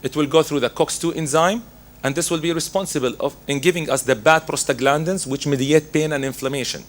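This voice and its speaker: A man with laryngitis, hoarse, giving a methodical medical lecture as a pharmacist, working through his slides. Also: fairly high pitch, a little fast.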